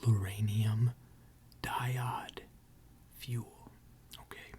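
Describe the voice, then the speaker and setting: A person in an ASMR roleplay voicing a gentle, attentive mechanic who tends robots, speaking formally and deliberately in a soft, breathy whisper. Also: medium pitch, fairly even intonation, a little hiss or hum. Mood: soothing, attentive. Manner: slow, deliberate, soothing, formal.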